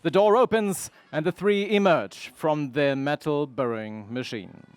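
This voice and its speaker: A man's voice: fairly high pitch, unhurried, no room sound. A man giving scripted, dramatic narration for a radio adventure serial. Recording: clearly noisy background ambience.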